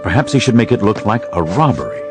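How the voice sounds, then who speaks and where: Deep-voiced male narrator for an audio drama, music under. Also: very animated, fast talker.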